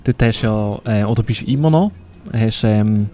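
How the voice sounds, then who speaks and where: A man hosting a casual interview, relaxed and hesitant with fillers. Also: lowish voice, moderate pace. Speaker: podcast host.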